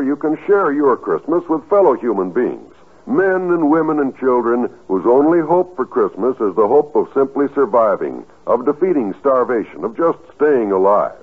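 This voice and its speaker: A man working as a radio announcer in the formal 1950s style, reading earnestly with deliberate enunciation. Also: medium pitch, husky. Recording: muffled, thin 1950s radio recording.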